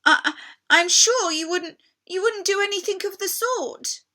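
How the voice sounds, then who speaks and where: A woman's voice: very high voice, unhurried, bright, forceful tone, voice pushed up, full character voice. A woman voicing an innocent, kindly young girl in a storybook, speaking in a hesitant, earnest, pleading way. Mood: earnest reassurance.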